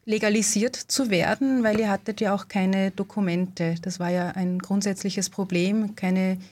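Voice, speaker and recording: A woman hosting a podcast, introducing the episode and asking clear, structured questions. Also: medium pitch, fairly even intonation, unhurried, darkish tone. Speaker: podcast host. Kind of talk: interview, scripted intro.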